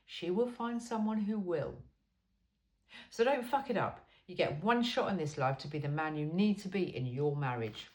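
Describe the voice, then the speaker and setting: A woman's voice: lowish voice, a little mellow, low-quality recording. A woman reading a self-help book aloud in an informal, blunt and emphatic way.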